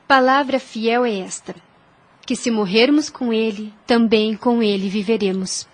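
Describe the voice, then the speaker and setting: A woman playing a gentle, devout young Spanish woman who explains scripture earnestly in a religious audio drama. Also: fairly high pitch. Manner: earnest, measured, reverent explaining.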